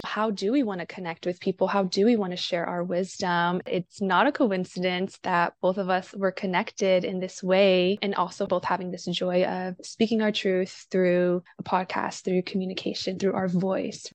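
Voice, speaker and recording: An enthusiastic woman hosts her own interview podcast, with a middle-pitched voice. She asks long, wandering questions in spiritual terms, and the recording sounds close and dry. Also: steady tone, moderate pace, few pauses, no echo. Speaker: podcast host.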